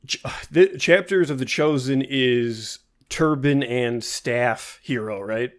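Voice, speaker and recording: A man's voice: mid-range voice, slow. A young man co-hosting a podcast in casual chat, adding short, dry remarks. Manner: dry, brief interjections. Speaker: podcast co-host.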